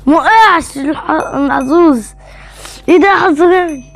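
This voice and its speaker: High-voiced Egyptian woman gamer. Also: moderate pace. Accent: Egyptian Arabic. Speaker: gaming video co-host. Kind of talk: casual, teasing gameplay chatter.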